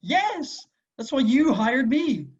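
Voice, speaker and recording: A man's voice: very high-pitched, sing-song, takes it slowly, husky, exaggerated voice. A man playing a weary, scowling hitman in his forties at an amateur table read, terse, wary and testy. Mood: exasperated. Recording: dull lo-fi voice recording.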